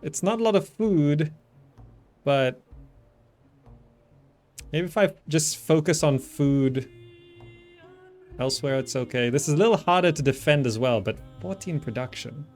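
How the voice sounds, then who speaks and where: A man's voice: a little high, expressive, unhurried, pauses often, darkish tone, faint music behind. A man giving casual gameplay commentary, thinking aloud and repeating himself as he works things out. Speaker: gamer, channel host.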